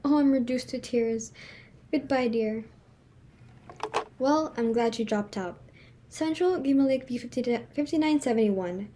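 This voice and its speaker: A woman's voice: a little high, measured pace, pauses often, dark tone, a little hiss or hum, close to an everyday voice. A woman playing a fussy, snobbish middle-aged society hostess and mother in a play reading, with a theatrical, exclamatory, fretful manner. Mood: falsely sorrowful.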